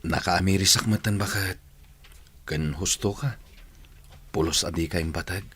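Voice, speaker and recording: A man's voice: low voice, normal speed, a bit bright, husky. A man acting an earnest, repentant Filipino husband and father in a radio drama.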